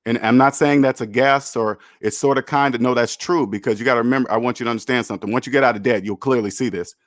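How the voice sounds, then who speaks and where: A man's voice: mid-range voice, steady tone, fast, dry recording, clean studio sound. A man in his fifties hosting a call-in money talk, emphatic and repeating his points. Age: 50s. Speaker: host.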